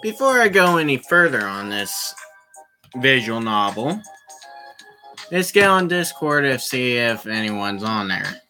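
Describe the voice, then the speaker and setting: Casual game streamer, sharp bright delivery, slow pace with repeated phrases, music underneath. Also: medium pitch, very animated. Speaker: streamer playing a visual novel. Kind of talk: casual livestream commentary with read-aloud.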